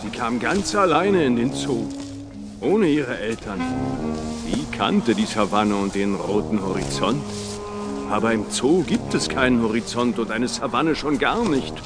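A big, confident elephant played by a man from a children's audiobook. He speaks slowly and deliberately, with lively melody and a gentle temper, over light music. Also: mid-range voice, lightly acted. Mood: sad, gentle. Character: large male zoo elephant, gentle, confident, adventurous.

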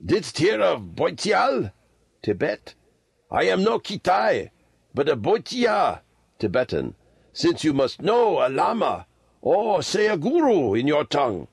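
Storybook role: aged Tibetan lama acted by a man, humble and earnest, high lilting voice, dry close sound. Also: wildly swooping pitch, takes it slowly, gravelly, breathy edge, no room sound, full character voice. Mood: patient, earnest.

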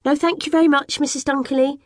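A woman's voice: high voice, fairly even intonation, fast, dry recording, studio quality, pitched above the performer's own voice, natural voice. A woman voicing a bossy, impatient young girl. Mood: polite.

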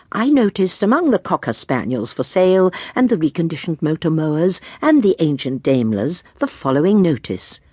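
An actress playing a genteel elderly English lady narrating a mystery drama, husky and precise, savouring her words. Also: lowish voice, darkish tone, no echo, clean studio sound. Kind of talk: dramatised first-person narration.

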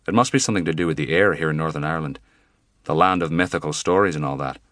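A man's voice: a bass voice, fast talker, a bit bright, no room sound, studio quality. A man voicing an anxious young father who answers in a sheepish, reassuring way.

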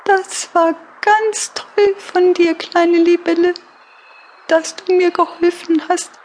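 A woman's voice: very high voice, steady tone, slow, darkish tone. A woman voicing a tiny, timid and grateful female fly in a children's audiobook, earnest and sweet. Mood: grateful, relieved. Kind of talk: children's audiobook character acting.

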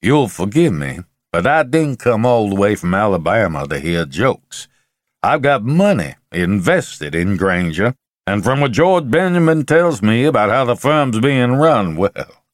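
A man's voice: on the low side, very animated, unhurried, dry recording. A man doing a drawling older Alabama investor. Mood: reproving. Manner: stiff, reproving. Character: 56-year-old male investor from Alabama, Southern drawl.

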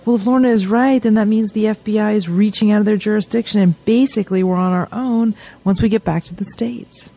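A woman's voice: medium pitch, steady tone, brisk, hardly any pauses, warm tone, close to an everyday voice. An actress playing a level-headed woman on a covert mission in an audio drama, speaking in a dry, wry way. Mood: skeptical.

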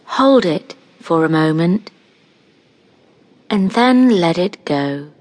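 Very slow, low-pitched woman guiding meditation. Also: expressive. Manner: soothing, gentle, measured with long pauses. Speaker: guided meditation narrator. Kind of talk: scripted guided meditation, hypnosis narration.

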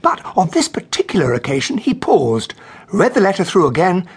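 An actor playing a genial, impatient English gentleman sidekick and narrator in a radio drama, with a British accent and a husky voice. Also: sits high, huge pitch swings, moderate pace, heavily acted. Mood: wry storytelling.

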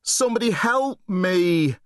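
A man's voice: sits high, animated, a little slow, no echo, voice pushed up. A man voicing a frightened teenage boy who pants and babbles in panic. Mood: panicked. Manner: panicked, breathless. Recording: dry close recording.

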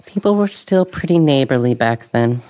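An actress playing a sharp, gossipy old woman in an audio drama, with a gravelly voice and a knowing, dryly opinionated way of talking. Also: rumbling low, expressive, moderate pace. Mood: dry, reminiscing. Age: older.

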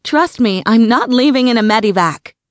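A woman's voice: medium pitch, moderate pace, no room sound, studio quality, close to an everyday voice. A woman voicing a bold young female cryptid hunter barking urgent orders into a radio. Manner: urgent, bossy, shouting into a radio.

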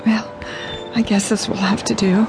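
An actress playing a vain, hard-of-hearing company boss, haughty and impatient, in an audio drama. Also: female voice, mid-range voice, level tone, normal speed, over music, lightly acted, low-quality recording. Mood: impatient, bossy. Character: imperious, vain, hard-of-hearing female cosmetics executive. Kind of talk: radio drama acting.

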